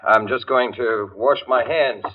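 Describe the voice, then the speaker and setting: An actor playing a nervous, brooding boyfriend in a 1940s radio suspense drama, with a low, husky voice. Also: male voice, a little slow, lightly acted. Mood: brooding, restrained. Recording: dull, muffled vintage radio recording.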